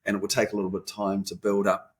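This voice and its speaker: A man with a fairly low voice hosts a podcast interview. He asks rambling, friendly questions and keeps encouraging his guest with quick affirmations. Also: monotone. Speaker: podcast host.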